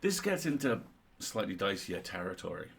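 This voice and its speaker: A man's voice: low voice, big pitch swings, normal speed, gravelly, husky. A middle-aged man co-hosting a livestream, reading a humorous article aloud with wry, playful and sweary asides.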